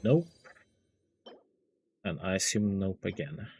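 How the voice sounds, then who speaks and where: A man's voice: deep voice, lively intonation, slow, a little mellow, a little hiss or hum. A man giving casual game livestream commentary, muttering and thinking aloud to himself.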